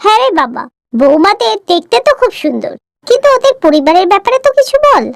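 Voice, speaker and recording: A woman's voice: very high voice, sing-song, fast, gravelly, no room sound, clean studio sound, full character voice. A woman voicing a snobbish, scolding middle-aged mother-in-law in a cartoon. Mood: chatty. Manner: haughty, scolding.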